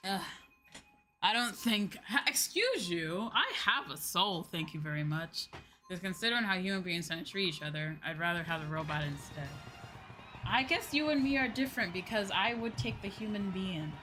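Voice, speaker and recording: A woman with a medium-pitched voice chats casually on a gaming livestream. She is opinionated and emphatic and swears freely. Game music plays clearly behind her. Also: lively intonation, normal speed, sharp tone. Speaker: streamer, VTuber host. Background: video game music.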